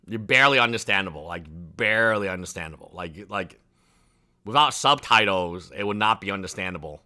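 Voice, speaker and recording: A man's voice: lowish voice, expressive, fairly quick, pushed and bright. A man in his thirties whose first language is Chinese, hosting a casual reaction stream and rambling with many "like" fillers. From China. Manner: rambling, heavy use of "like" fillers. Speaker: livestream reaction host.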